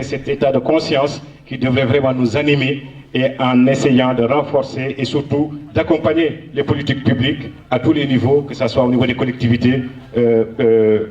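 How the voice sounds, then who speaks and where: A man, a Soninke speaker, addresses a gathering in slow, earnest phrases broken by hesitant fillers. His voice sits at an ordinary pitch and carries a roomy echo, picked up from some distance from the mic. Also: a little mellow, highs cut off. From Senegal. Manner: earnest, measured oratory with frequent hesitations. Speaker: honoree giving a public speech. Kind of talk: public speech at a local ceremony.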